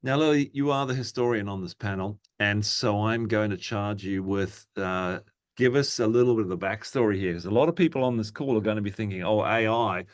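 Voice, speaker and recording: Man, fairly low voice, panel moderator, conversational, prompting. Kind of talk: informal panel hosting.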